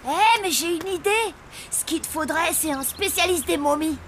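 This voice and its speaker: A woman's voice: extremely high pitch, moderate pace, slight background noise. A woman voicing a mischievous, boastful zombie boy in a French cartoon, playful and theatrical. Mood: eager. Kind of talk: French animated cartoon dub acting. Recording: loud music behind the voice.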